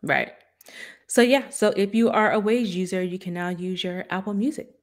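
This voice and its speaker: A woman's voice: mid-range voice, normal speed. A woman co-hosting a tech podcast, explaining news conversationally with frequent ums and uhs. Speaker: podcast co-host. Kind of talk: casual tech news chat.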